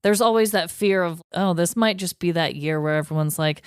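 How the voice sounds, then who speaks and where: A woman co-hosting a podcast in casual conversation, with an animated, self-deprecating manner. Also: fairly low pitch, fairly quick, smooth, no room sound, studio quality. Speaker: podcast co-host. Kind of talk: casual chat.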